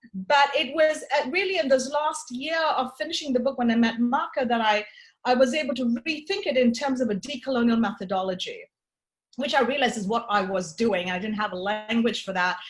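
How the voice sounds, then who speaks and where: Woman from Tanzania, academic book talk, thoughtful, searching, fairly high voice. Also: normal speed, low-quality recording. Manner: thoughtful, searching, many restarts and fillers. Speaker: author and event host.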